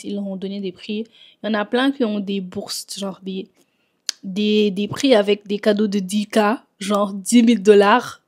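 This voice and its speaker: A woman's voice: mid-range voice, a little mellow. A woman from Senegal chatting in a home vlog, a little congested from a cold, dropping English phrases into her talk. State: recovering from a cold. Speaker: vlogger. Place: at home in Montreal.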